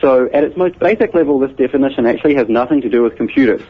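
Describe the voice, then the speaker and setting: A man's voice: mid-range voice, rapid-fire, slightly rough. A man with a New Zealand accent, a computer scientist patiently and methodically explaining ideas as a guest in an interview. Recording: muffled radio broadcast audio.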